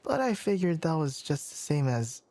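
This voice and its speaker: A man playing a flustered, formal young fox-eared forest scholar in an intimate ASMR anime roleplay, hesitant and stammering, often slipping into a breathy whisper. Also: fairly high pitch, sing-song, normal speed, mellow, no room sound. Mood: woozy, uneasy.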